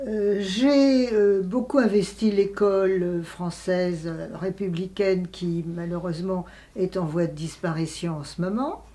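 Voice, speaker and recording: An elderly woman, speaking reflectively in an interview, often pausing to search for words. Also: on the low side, fairly even intonation, mellow. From France. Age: 80s. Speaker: interviewee, writer and translator.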